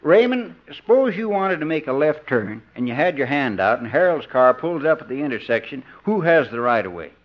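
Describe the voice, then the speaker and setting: An actor playing a folksy, self-important, bumbling license examiner with a drawl in a 1950s radio sitcom. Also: male voice, fairly high pitch, very animated, a little fast, few pauses. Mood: officious. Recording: muffled 1950s radio recording.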